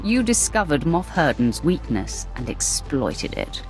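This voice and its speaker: Cold Sith warrior with swooping pitch. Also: female voice, big pitch swings, a little slow, faint music behind, exaggerated voice, rough recording. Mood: cold resolve. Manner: terse, imperious. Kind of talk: dramatic video game acting.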